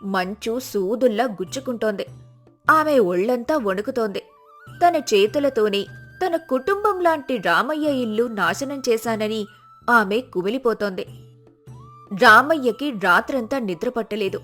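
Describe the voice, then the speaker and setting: A woman storyteller with a fairly high voice reads a scripted moral tale. Music plays behind her narration. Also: animated, a little slow, fairly bright tone.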